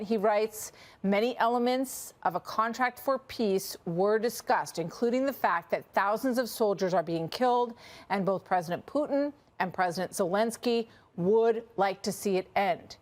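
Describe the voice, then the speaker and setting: An American woman working as a news anchor, presenting live and reading out statements. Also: mid-range voice.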